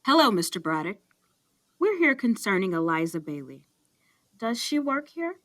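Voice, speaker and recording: A woman's voice: medium pitch, very animated, moderate pace, fairly bright tone. An actress playing a formal, matter-of-fact female police detective in an audio drama. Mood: official, grave.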